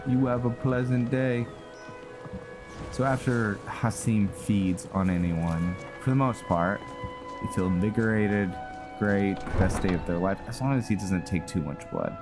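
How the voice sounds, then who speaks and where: Male gamer, low voice, slow, laid-back casual commentary, musing to himself, game music behind. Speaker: gamer and role-play series host. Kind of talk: casual let's play commentary.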